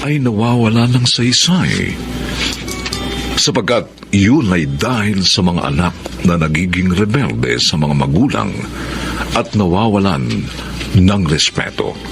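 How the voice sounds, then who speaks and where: Deep, gravelly Filipino male narrator. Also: big pitch swings, slow pace, over music. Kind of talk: dramatic radio drama narration.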